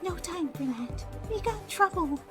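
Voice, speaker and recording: Very high, timid teenage girl. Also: expressive, measured pace. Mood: worried, urgent. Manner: timid, hesitant. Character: shy, sad, soft-hearted teenage pony-turned-human girl. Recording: music behind the voice.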